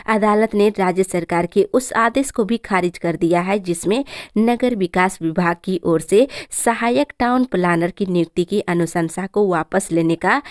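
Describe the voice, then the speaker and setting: A woman's voice: mid-range voice, darkish tone, dry recording, studio quality. A woman from presents a scripted news bulletin in a formal, headline-style delivery.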